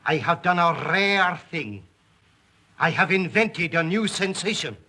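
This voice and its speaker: An actor playing a suave, sinister aristocratic count and hunter, speaking in a stately, theatrical 1930s film style. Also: male voice, high-pitched, animated, a little slow, bright, forceful tone, full character voice. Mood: gloating.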